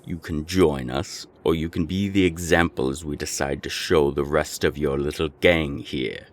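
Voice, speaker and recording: A man playing a menacing naga gang boss in an audio roleplay, gravelly and deliberate, with cold, taunting threats. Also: fairly even intonation. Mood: angry, commanding. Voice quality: very deep, gravelly. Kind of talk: audio roleplay drama acting.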